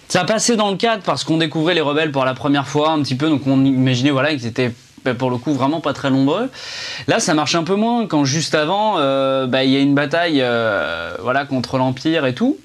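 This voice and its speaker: Young male film reviewer, fairly high voice, loose and rambling delivery, talks to camera. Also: a bit bright. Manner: rambling and chatty, many fillers and restarts. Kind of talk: casual spoken film review. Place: indoors under a ring light.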